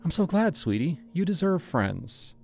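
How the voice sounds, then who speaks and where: Storybook mom, middle-aged, high-strung and shrill, a male narrator doing her voice. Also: big pitch swings, measured pace, gravelly, darkish tone, full character voice. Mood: delighted. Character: frantic middle-aged mother, shrill and panicky.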